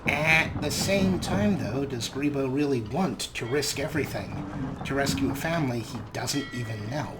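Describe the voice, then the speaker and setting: A man's voice: medium pitch, animated, normal speed, very noisy. A man hosting a let's play, giving wry, musing commentary on his character in the third person.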